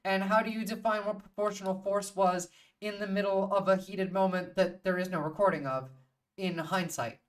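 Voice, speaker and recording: A woman's voice: mid-range voice, fairly even intonation, moderate pace, far from the mic. A woman hosting a livestream in casual, opinionated chat, swearing freely and bluntly as she thinks aloud. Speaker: livestream host.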